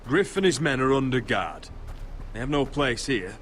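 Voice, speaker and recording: An actor playing a proud, strained young lord in a video game drama, speaking in a formal, earnest manner. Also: male voice, mid-range voice, big pitch swings, moderate pace, a little hiss or hum, lightly acted. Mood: guarded, stern.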